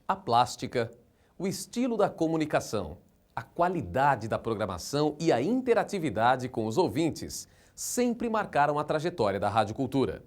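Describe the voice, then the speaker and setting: Lively Brazilian male documentary narrator. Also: mid-range voice, normal speed.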